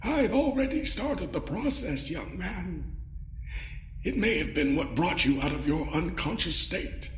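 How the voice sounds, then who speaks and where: A man voicing an ancient, courtly alien mind-parasite in an audio drama, pompous and smugly menacing, savoring his pauses. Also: high voice, lively intonation, a bit bright, faint music behind. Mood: politely menacing. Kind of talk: scripted audio drama acting. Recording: roomy echo.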